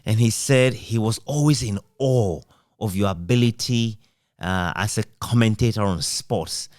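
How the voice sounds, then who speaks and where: Male interviewer, lowish voice, conversational delivery, close dry recording. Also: measured pace, darkish tone, no echo. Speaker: radio interviewer.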